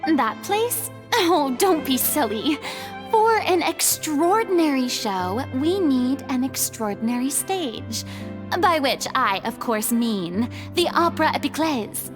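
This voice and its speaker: An actress playing a dramatic, showy young former goddess in a video game, with a grand, theatrical delivery. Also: female voice, high voice, big pitch swings, takes it slowly, heavily acted. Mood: grandiose. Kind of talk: video game cutscene voice acting. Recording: game dialogue over music.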